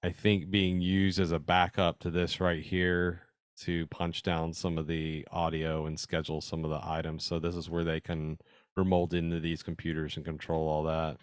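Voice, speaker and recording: A man's voice: low-pitched, monotone, moderate pace, smooth, no echo, clean studio sound. A man giving an informal slideshow presentation to a radio club, explaining technical details in a conversational, interested way.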